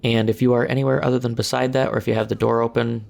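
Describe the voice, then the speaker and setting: Hoarse, gravelly male gamer narrating a walkthrough; flat, even delivery. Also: mid-range voice, rapid-fire. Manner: calm step-by-step instructions. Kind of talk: casual game walkthrough commentary.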